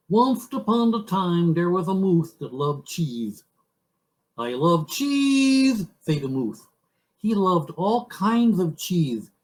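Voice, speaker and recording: A man voicing a goofy moose puppet who tells fairy tales with drawn-out words and rustic broken grammar. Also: high-pitched, voice pushed up, rough recording. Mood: loud, exaggerated.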